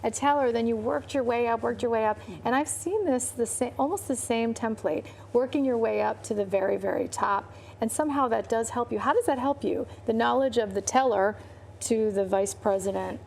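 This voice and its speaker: A woman hosting a podcast interview in a casual, enthusiastic way, quick to laugh and praise her guest. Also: a little high, creaky, darkish tone, a little hiss or hum. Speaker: podcast host.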